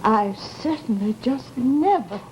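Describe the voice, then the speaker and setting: A gossipy American landlady in a vintage radio chiller. Her voice is high and her talk is folksy and exclamatory, quick to take offense. The old recording has some noise under it. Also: animated, low-quality recording. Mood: gossipy, amazed. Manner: gossipy, folksy, exclamatory, huffy when slighted. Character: chatty, nosy, easily offended landlady, Missus Hawkins. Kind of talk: old-time radio horror drama acting.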